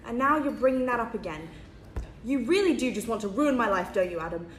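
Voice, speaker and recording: Casting: harsh, domineering mother played by a woman, fairly high voice, scolding, roomy table read. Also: animated, fairly quick, close to an everyday voice. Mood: angry, domineering. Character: harsh, bullying, self-pitying mother. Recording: echoey room with background noise.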